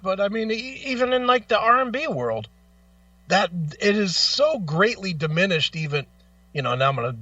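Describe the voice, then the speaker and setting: A man's voice: on the high side, bright, forceful tone, no room sound, distant mic, muffled recording. A man hosting a talk show, ranting casually in a blunt, profane, emphatic way and arguing through rhetorical questions.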